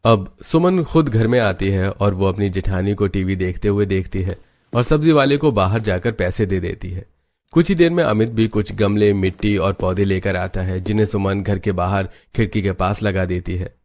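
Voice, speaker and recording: A man's voice: low-pitched, normal speed, darkish tone. A man narrating a scripted cartoon moral story.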